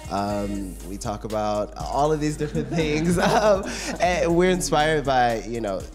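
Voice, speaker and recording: A man's voice: mid-range voice, lively intonation, measured pace, music in the background. A man from Houston, Texas, a singer in a pop group, talking animatedly in an interview. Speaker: band member, singer. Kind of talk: casual interview.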